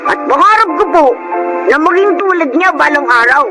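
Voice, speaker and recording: Very high-voiced earnest young boy played by a man. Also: lively intonation, normal speed. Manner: polite, earnest. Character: eager, obedient young boy dreaming of boxing. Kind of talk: radio drama acting and narration. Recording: loud music behind radio drama.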